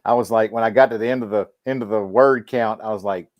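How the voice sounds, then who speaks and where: A man's voice: on the low side, fairly even intonation, fast talker, dry recording, lo-fi sound. A man co-hosting a livestream, chatting casually and using plenty of slang. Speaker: podcast co-host. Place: home in the countryside, on a video call.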